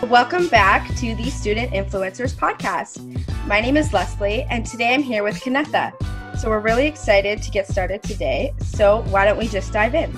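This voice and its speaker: Podcast host, woman, friendly and a bit hesitant, mid pitch, faint music in the background. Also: normal speed. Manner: friendly, hesitant with many ums. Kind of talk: casual interview host. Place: room at home. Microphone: headset.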